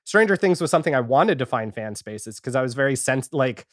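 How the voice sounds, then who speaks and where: Male podcast guest, average pitch, enthusiastic rambling talk, casual, dry close recording. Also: animated, brisk, no echo. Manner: enthusiastic, rambling, peppered with 'like'. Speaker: podcast guest, audio fiction creator. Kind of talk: casual chat.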